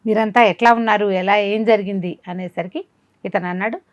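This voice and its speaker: A woman's voice: medium pitch, steady tone, brisk, dry recording, muffled recording. A woman telling a folk tale informally, conversationally asking rhetorical questions as she narrates. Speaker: storyteller.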